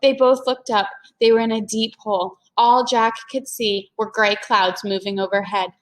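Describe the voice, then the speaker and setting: A woman's voice: fairly high pitch, fairly even intonation, normal speed. A woman reading a children's story aloud in a teacherly way, explaining things to young listeners. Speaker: teacher-like read-aloud reader.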